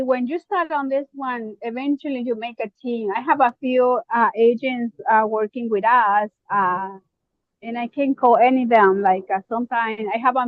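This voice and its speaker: A woman from Mexico with a Mexican Spanish accent, a real estate investor talking casually on a video call, often pausing and searching for words. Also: fairly high pitch, dry recording, phone-quality sound. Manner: halting, searching for words, many fillers. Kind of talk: casual online meeting chat.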